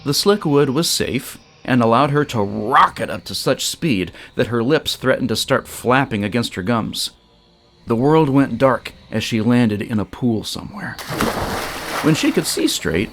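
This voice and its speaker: Male story narrator: unhurried, theatrical delivery with dramatic pauses and lively melodic swings. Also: mid-range voice, soft background music. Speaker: storyteller and show creator.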